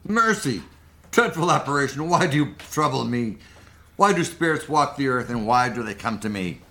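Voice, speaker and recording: A man's voice: medium pitch, expressive, moderate pace, bright, forceful tone. A man playing a crotchety, sceptical old miser in a community radio play, with a blunt, theatrical delivery. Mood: terrified.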